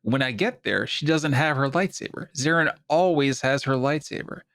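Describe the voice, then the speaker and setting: A man's voice: mid-range voice, moderate pace, fairly bright tone, no echo, natural voice. A man playing an earnest, troubled doctor in a science fiction audio drama, speaking with urgent, pleading insistence. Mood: urgent, anxious.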